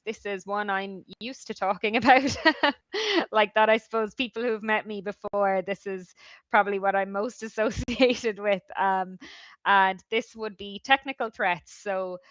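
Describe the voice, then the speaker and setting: A woman's voice: mid-range voice, fairly even intonation, fairly bright tone, no echo. Thoughtful woman on dull, dry call audio. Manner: measured, thoughtful, slightly hesitant. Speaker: head of research, guest speaker. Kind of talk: webinar presentation and discussion.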